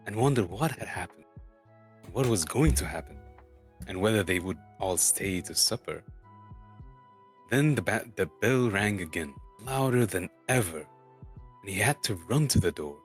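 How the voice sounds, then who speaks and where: Low voiced guy streamer reading a storybook, trips over words a bit, faint music behind. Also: animated. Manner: halting, stumbles over words and repeats them. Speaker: streamer co-reading a novel aloud. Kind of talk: amateur dramatic storybook narration.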